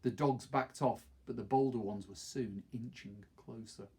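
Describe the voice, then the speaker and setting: A middle-aged man with a husky voice, reading a novel aloud expressively. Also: mid-range voice, measured pace, darkish tone. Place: small wood-panelled study, indoors.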